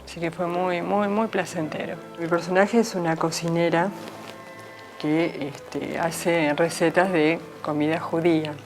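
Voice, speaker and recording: Low-voiced actress reminiscing. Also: female voice, measured pace, soft background music. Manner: relaxed, reminiscing, with many fillers. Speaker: stage actress. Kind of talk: interview about a play.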